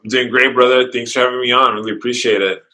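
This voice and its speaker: A man who learned English as a second language, talking in an interview as a guest videographer, energetic and quick with restarts. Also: medium pitch. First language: not English. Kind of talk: casual interview chat.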